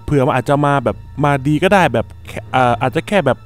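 Casting: man as cautious cartoon police sergeant, measured and reassuring, music underneath. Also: medium pitch, lively intonation, voice pushed down, natural voice.

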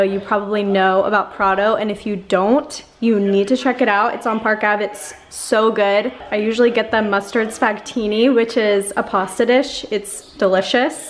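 A woman in her twenties, chatting casually to the camera as a lifestyle vlogger, upbeat and full of "like" fillers. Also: on the high side. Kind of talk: casual vlog chat to camera. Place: home kitchen, then parked car.

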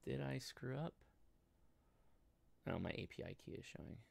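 An American man in his thirties talking through a casual livestream tutorial, conversational and halting as he thinks aloud. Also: medium pitch, animated, creaky, slight background noise. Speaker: livestream host and ham radio instructor. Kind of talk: casual live tutorial with chat replies. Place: indoor home studio desk, livestream.

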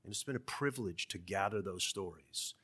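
A man in his sixties from California's Central Valley, an author speaking and reading to the public with measured, deliberate phrasing. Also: deep voice, a bit bright, slightly rough. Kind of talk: public talk and literary reading. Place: conference room. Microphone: gooseneck podium microphone.

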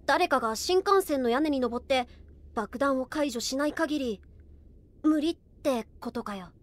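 A woman playing a brash, rough-talking but loyal tomboyish girl in an anime audio drama. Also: high-pitched, expressive, measured pace. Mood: wry.

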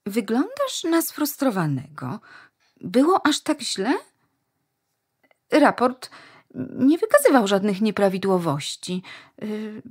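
A woman playing an eager, wistful young wife in a dystopian radio play, earnest and dreamy, with a slightly husky voice. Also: mid-range voice, darkish tone, heavily acted. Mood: concerned.

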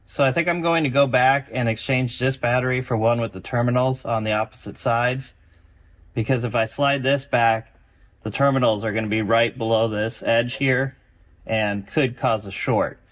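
A man in his thirties narrates a restoration job in a roomy indoor workshop. He speaks in an even, methodical way, calm and practical. The sound is a camera mic in a garage. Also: mid-range voice, normal speed. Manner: calm, methodical, matter-of-fact. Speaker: car restoration video host. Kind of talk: explanatory workshop walkthrough.

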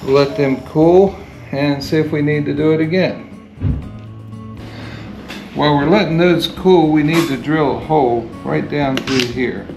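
A man in his sixties, a DIY host giving a plain, unhurried step-by-step demonstration while working at his home garage workbench, picked up by a camera above the bench. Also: a little high, vocal fry. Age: 60s. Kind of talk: hands-on how-to demonstration. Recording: echoey garage with guitar music. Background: guitar music.

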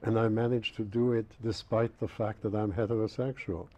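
A man's voice: fairly low pitch, flat delivery, moderate pace, heavy background noise. An older man from the former Yugoslavia, German his first language, answering interview questions in a dry, precise, witty way. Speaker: guest critic. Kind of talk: studio interview. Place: dark TV studio set. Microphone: lapel mic.

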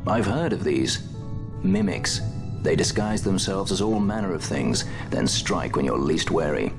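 An actor playing a suave, sardonic young sky pirate in a video game, dry wit. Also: male voice, low-pitched, animated, vocal fry, music in the background, heavily acted. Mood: wry. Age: young adult. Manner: dry, wry, unhurried wit. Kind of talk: video game cutscene acting.

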